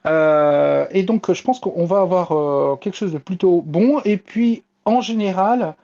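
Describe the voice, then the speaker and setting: A man's voice: high voice, unhurried, vocal fry, dark tone, lo-fi sound. A man chatting casually as a panelist reporting news on a talk show, hesitant and searching for words. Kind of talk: casual chat.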